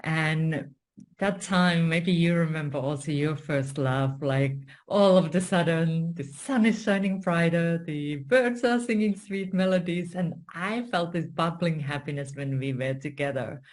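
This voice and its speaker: Female interview guest with a Finnish accent. Low register, very unhurried, measured delivery for personal storytelling. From Finland. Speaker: guest, story coach and spiritual mentor.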